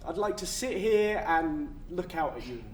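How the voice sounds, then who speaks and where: In an echoey, distant stage recording, an actor plays an earnest man with a fairly high voice. He is a gentle, resolute official of the afterlife, imploring in a measured way. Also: animated. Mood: pleading. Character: earnest afterlife official pleading to choose his forever, tender and resolute. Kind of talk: stage play acting. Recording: echoey stage, distant mic, faint noise.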